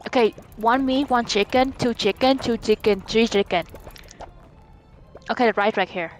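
A woman's voice: fairly high pitch, moderate pace, faint music behind. A woman streamer calling out orders to her team during a frantic co-op cooking game, laughing often. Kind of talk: frantic co-op gaming chat.

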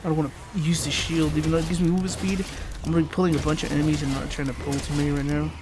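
Young male gamer, stuffy nasal voice, slightly raised pitch, loose filler-heavy explaining, noisy mic. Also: flat delivery, racing. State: congested from allergies. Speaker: gaming channel host. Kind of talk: casual gameplay commentary. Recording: noisy home recording.

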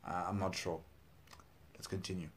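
A man's voice: low-pitched, flat delivery, normal speed, slight background noise. A man in his thirties giving casual, hesitant reaction commentary, musing as he goes. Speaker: reaction video host. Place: small plain room indoors. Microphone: clip-on lapel mic.

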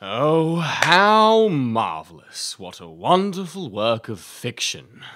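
An actor playing a fussy, sceptical storyteller in an audio drama, dry, sarcastic and disapproving. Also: male voice, on the high side, big pitch swings, takes it slowly, very noisy. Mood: sarcastic, exasperated. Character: fussy, sceptical male storyteller, easily exasperated.

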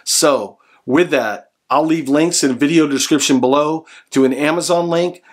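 A man's voice: fairly high pitch, moderate pace. A man in his forties with an American accent, explaining a product to camera in an earnest, instructional way. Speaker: product reviewer and presenter. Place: small room indoors at home.

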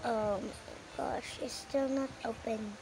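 A young woman talks her way through a game slowly and hesitantly, with frequent pauses and fillers. Her voice sits a little high. Loud background noise runs under her words. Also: steady tone. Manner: soft, hesitant, slow chatter with fillers. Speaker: gamer. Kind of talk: casual gameplay commentary.

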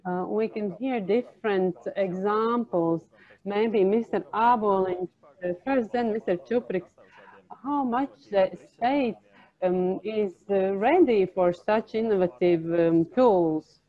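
A woman working as a simultaneous interpreter at a panel discussion, speaking in halting, separate phrases. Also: mid-range voice. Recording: narrow, tinny phone-like line.

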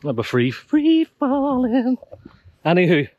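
A man's voice: very high voice, huge pitch swings, takes it slowly, no room sound, lo-fi sound. A man in his forties chatting casually and colloquially to a camera as he vlogs a hike outdoors. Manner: colloquial, matey. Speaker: hiking vlogger. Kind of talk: casual outdoor vlog chat. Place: open mountain hillside, outdoors. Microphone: action camera at arm's length. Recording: windy outdoor action-camera audio, loud noise. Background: loud wind noise.

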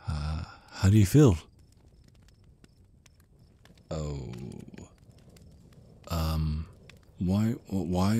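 A man's voice: deep voice, mellow, slightly rough, heavily acted. A man playing a shy, nervous, smitten vampire on a first date in an ASMR roleplay, stammering and hesitant. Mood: awkward, flattered.

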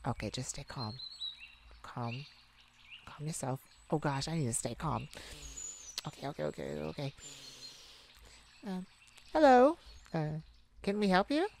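A woman's voice: sits low, a little slow, pauses often, a little hiss or hum. A woman playing a kind, protective, flustered young mage in a romantic ASMR roleplay, hesitant and stammering. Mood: nervous, flustered. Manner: hesitant, stammering, soft-hearted. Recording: steady outdoor birdsong ambience behind voice.

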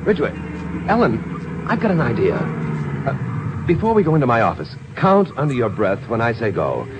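An urgent, quick-witted American lawyer in a vintage radio crime drama. His mid-pitched voice swings in animated fashion. The sound is dull, with faint noise. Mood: preoccupied, urgent. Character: quick-thinking, urgent lawyer hero with a plan.

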